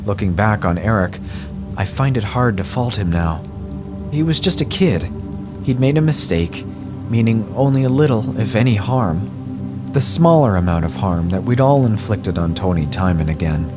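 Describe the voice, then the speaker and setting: A man reading a scripted horror story in a measured, somber first-person way. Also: fairly low pitch, lively intonation, music in the background. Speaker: story narrator.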